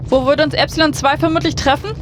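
A woman playing a terse, sardonic space station captain in a science fiction audio drama, brisk and dry in her commands. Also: sits high, steady tone, background noise, close to an everyday voice. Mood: tense, dry. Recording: music bed behind voice.

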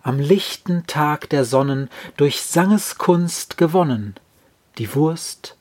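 Slow, solemn man reciting poetry. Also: a little high, lively intonation, darkish tone. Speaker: poem reader.

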